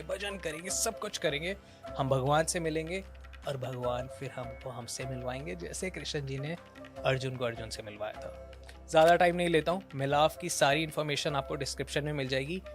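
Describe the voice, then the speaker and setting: A man with an Indian accent hosting a podcast, talking to listeners in an emphatic, repetitive way. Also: on the high side. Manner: emphatic, repetitive phrasing, mixing Hindi with English phrases. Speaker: podcast host. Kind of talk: energetic talk to camera, promoting and introducing episode.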